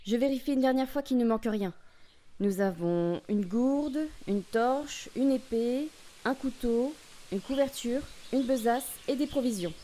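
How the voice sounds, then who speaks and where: A woman's voice: a little high, measured pace, mellow, lightly acted. A woman playing a practical, cautious young female adventurer in an audio drama, with a businesslike manner. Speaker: actor in amateur audio drama. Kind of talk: acted audio fiction dialogue.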